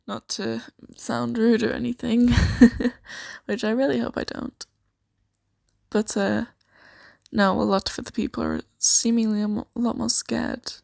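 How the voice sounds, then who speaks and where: A woman improvising an ASMR roleplay as a kind, shy, flustered boss of a secret sanctuary, speaking softly and hesitantly with giggles. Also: fairly high pitch, level tone, unhurried, vocal fry, dry recording, clean studio sound. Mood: shy, flirtatious. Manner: hesitant, giggly, soft-spoken.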